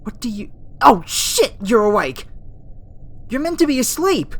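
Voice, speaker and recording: A woman voicing a gentle, flustered young female alien scientist in an ASMR roleplay, speaking soothingly and hesitantly with stammers. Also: rumbling low, animated, takes it slowly, exaggerated voice. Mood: startled, panicked. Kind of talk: ASMR roleplay audio acting. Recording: faint background noise under the voice.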